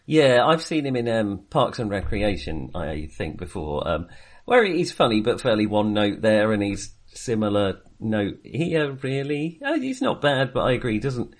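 A man's voice: lowish voice, big pitch swings, moderate pace. A man co-hosting a film podcast in casual discussion, hesitantly circling back and qualifying his points. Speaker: film review podcast co-host.

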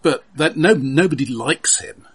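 A man co-hosting a film podcast, thoughtful and halting, often repeating words as he builds his point, with a husky voice. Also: a little high. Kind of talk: casual film discussion.

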